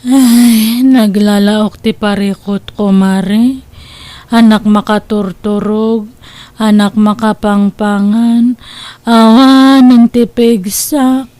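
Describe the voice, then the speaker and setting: An actress playing a despairing, weary mother deep in debt, complaining plaintively in a radio-style comedy drama. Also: steady tone, takes it slowly, mellow, no room sound. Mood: weary, self-pitying.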